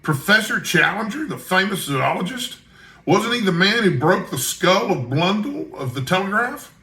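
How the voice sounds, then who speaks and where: Male reader as keen young storybook journalist, respectful and daring, slightly high bright voice, echoey room. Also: sing-song, moderate pace, heavily acted. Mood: eager. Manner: deferential, earnest. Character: eager young male reporter, earnest and daring.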